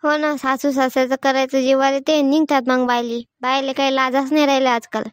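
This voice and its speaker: A woman's voice: high-pitched, level tone, brisk, no room sound, studio quality, close to an everyday voice. A woman voicing blunt, exasperated village women in a cartoon family comedy, scolding and complaining in a rural Varhadi Marathi dialect. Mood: quarrelsome. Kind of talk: animated family comedy dialogue.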